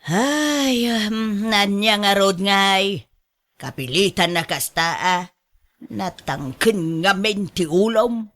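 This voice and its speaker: A woman playing a fretful, scolding but caring older mother and grandmother in a radio drama, with drawn-out exclamations. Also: mid-range voice, fairly bright tone. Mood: resigned.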